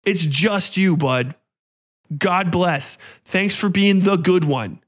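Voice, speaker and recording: Sweary, higher-voiced man ranting about film. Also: slow pace. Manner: profane, blunt, ranting; sarcastic. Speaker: podcast host reviewing a horror film. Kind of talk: casual opinionated film commentary.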